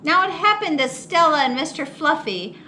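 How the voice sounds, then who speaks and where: A woman reading a children's picture book aloud as a storytime host, expressive and theatrical with drawn-out words. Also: high-pitched, a little hiss or hum. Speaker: storytime host at a public library.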